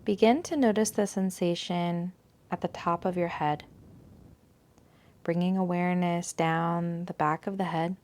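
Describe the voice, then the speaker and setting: A woman teaching a guided yoga nidra meditation in a soothing, unhurried, gentle manner, leaving long pauses between phrases. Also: fairly low pitch, fairly even intonation.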